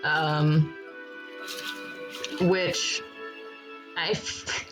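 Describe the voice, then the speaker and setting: A woman streaming a game in a relaxed, rambling chat, with a froggy morning voice and frequent laughter. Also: low-pitched, extremely slow, poor audio. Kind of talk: casual live-stream chat while gaming. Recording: loud background music over the voice.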